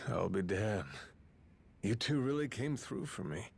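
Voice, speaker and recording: Brash tough guy, animated delivery. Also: on the low side, slow pace, gravelly, husky, exaggerated voice. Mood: grateful, relaxed. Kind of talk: English video game dub acting.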